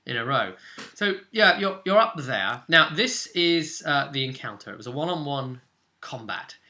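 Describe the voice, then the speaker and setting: A man's voice: medium pitch, very animated, normal speed, sharp tone. A man hosting as game master, casually explaining a tabletop role-play session in loosely phrased speech with asides.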